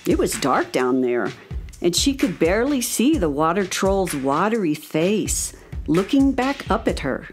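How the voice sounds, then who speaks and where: A woman's voice: on the low side, sing-song, a little slow, soft background music. A woman narrating a scripted story for children.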